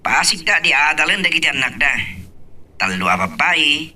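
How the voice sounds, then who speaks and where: A man's voice: a little high, big pitch swings, normal speed, sharp tone, far from the mic, full character voice. A man playing a dutiful, reassuring grown son in an audio love drama, speaking conversationally with an Ilocano accent. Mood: respectful, easygoing. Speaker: voice actor.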